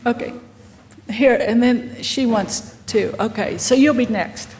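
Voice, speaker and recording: A woman's voice: a little high, unhurried, darkish tone, a little hiss or hum. A woman hosting a memorial gathering, reading a tribute and addressing those present. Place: memorial gathering at an art center.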